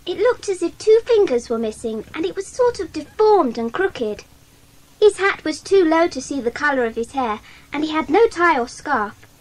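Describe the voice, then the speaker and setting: A woman's voice: high voice, very animated, moderate pace, slight background noise, rough recording. A woman playing an observant, earnest schoolgirl who speaks precisely in a children's audio drama. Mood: earnest, proud. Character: Janet, bright observant schoolgirl club member.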